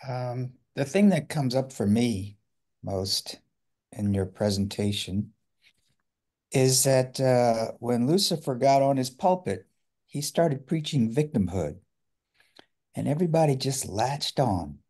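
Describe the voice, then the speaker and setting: Older man, mellow dark tone, medium pitch, reflective, video call. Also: expressive, takes it slowly. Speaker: discussion participant. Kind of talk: reflective casual comment. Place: living room, video call. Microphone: computer or tablet mic on video call.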